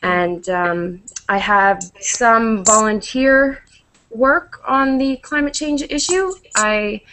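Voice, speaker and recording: A woman's voice: on the high side, slow, sharp tone, poor audio. A young woman with a Canadian accent introducing herself casually as a student on a video call, through a headset. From Toronto area, Canada. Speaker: course student. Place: room with wooden cupboards, indoors. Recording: faint noise on video call.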